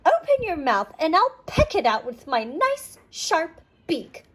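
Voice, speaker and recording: Storybook hen, female, helpful and eager, voiced by a woman: high and lively. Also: a little slow, full character voice. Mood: eager, reassuring. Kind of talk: children's storybook character voice.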